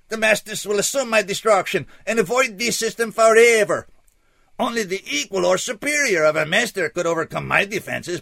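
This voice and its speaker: A man voicing a tall, anguished alien storybook character, stiffly formal and intense, in a strained, gravelly voice. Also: high voice, sharp tone, no echo, voice pushed up, heavily acted. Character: tall anguished alien, formal, despairing, doomed servant of masters.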